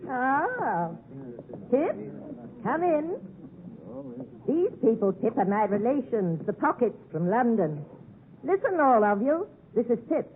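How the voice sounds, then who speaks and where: An actress playing an imperious, eccentric rich old spinster in theatrical, commanding 1950s radio drama style. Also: female voice, fairly high pitch, sing-song, takes it slowly, long pauses. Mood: grandly mocking. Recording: muffled narrow-band 1950s radio recording.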